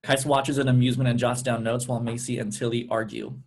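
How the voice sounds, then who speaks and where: A man's voice: mid-range voice, flat delivery, quick pace. Guy reading the stage directions at a table read, normal midrange voice, narrator stuff.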